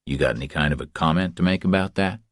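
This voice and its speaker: A man voicing a big, tough, unruffled drifter in an audiobook, speaking in terse, understated deadpan. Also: deep voice, fast, no echo, clean studio sound, close to an everyday voice. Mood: flat, assured. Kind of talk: audiobook character dialogue.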